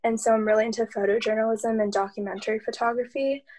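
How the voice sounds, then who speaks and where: A woman's voice: a little high, little pitch movement, quick pace, darkish tone, a little hiss or hum. A young woman talking casually as a panelist on a video call. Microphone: video call microphone.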